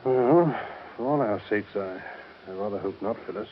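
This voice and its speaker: A man acting a genteel, cautious older uncle in a vintage radio serial. His voice is on the low side, formal and hesitant. The old recording is dull with faint noise. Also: moderate pace, lightly acted. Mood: cautious, reassuring. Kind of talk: 1930s radio soap acting.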